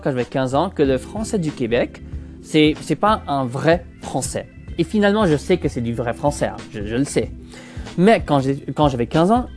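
A man's voice: fairly high pitch, darkish tone. A Canadian man in his twenties with a France-style French accent, hosting a casual solo podcast in a rambling, hesitant way that keeps restarting phrases. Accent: Parisian-style French learned in France. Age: 20s. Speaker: podcast host.

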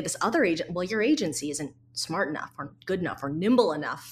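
Candid, reflective woman guest. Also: mid-range voice. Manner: candid, reflective, lively storytelling. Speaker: guest, associate creative director. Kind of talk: casual interview chat.

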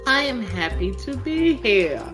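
A woman's voice: a little high, very animated, a little slow, music in the background. A woman from Trinidad and Tobago with a Trinidadian accent, speaking articulately as an interview guest and laughing easily. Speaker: guest, people analytics expert. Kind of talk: interview answers.

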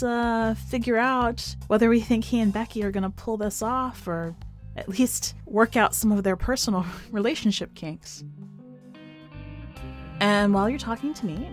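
A woman's voice: mid-range voice, moderate pace, soft background music. A woman podcast host who reads fiction aloud as a narrator and comments on it. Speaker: podcast host and editor reading a short story.

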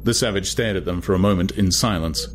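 A man's voice: low-pitched, fast talker, a little hiss or hum. A man reading a novel aloud as narrator, in dramatized, scripted literary storytelling. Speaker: audiobook narrator.

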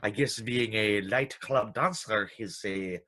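An actor playing a sweet, chatty, genial old clockmaker in a vintage-style radio play. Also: male voice, fairly low pitch, bright, forceful tone, full character voice. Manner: genial, chuckling, deliberate shopkeeper politeness. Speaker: actor in live radio theatre.

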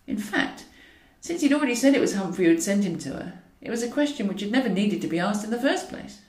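Female audiobook reader for comic fiction: dry irony, animated pitch movement, recorded roomy and slightly distant. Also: fast. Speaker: author reading own novel. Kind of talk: scripted narration of a comic novel. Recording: roomy echo, distant from mic.

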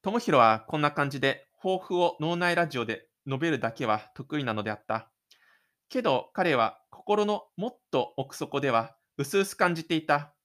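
A man's voice: a little high, expressive, moderate pace, a bit bright. A man reading scripted third-person narration for a self-made audio drama.

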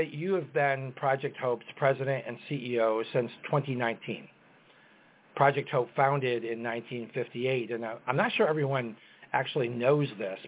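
A man hosting a podcast, reading a formal scripted introduction of his guest. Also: mid-range voice. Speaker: podcast host. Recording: tinny phone-like line.

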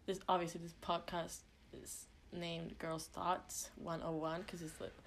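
A woman's voice: lowish voice, level tone, unhurried. Young woman, chatty podcast co-host. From Sweden. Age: young adult. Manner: chatty, many fillers, frank and swearing. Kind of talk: casual chat.

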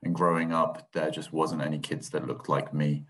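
A man in his thirties with a low, deep voice, talking casually as a podcast guest. He speaks in a reflective, halting way and stops often to find his words. His was Thai. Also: level tone, a bit bright. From England, grew up in Swindon. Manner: reflective and hesitant, with many fillers and restarts. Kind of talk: casual interview.

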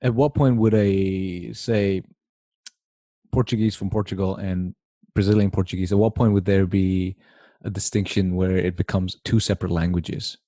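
A man's voice: low voice, flat delivery, normal speed, warm tone, dry recording. A man hosting a casual conversation, asking curious, rambling questions. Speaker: host.

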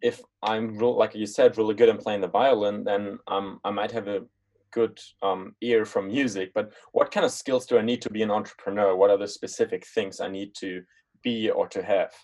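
A man's voice: fairly low pitch, fairly even intonation, normal speed, a little mellow. A young man hosting a podcast, asking long, rambling questions full of restarts and fillers. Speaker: podcast host. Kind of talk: casual interview hosting.

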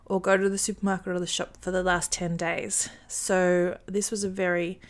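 A woman's voice: lowish voice, flat delivery, moderate pace. A woman in her thirties hosting a casual chat over a remote call, talking easily with frequent ums and light laughs. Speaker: podcast host.

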